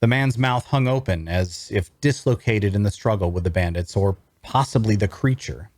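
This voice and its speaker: A man's voice: lowish voice, moderate pace, darkish tone, no room sound, lightly acted. A man playing a wry necromancer who narrates his own adventure, reading scripted first-person prose with dramatic storytelling. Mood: urgent, grim.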